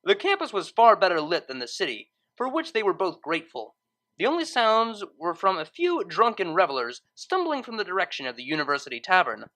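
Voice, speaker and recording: A woman reading a story aloud as narrator, in expressive scripted narration. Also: on the low side.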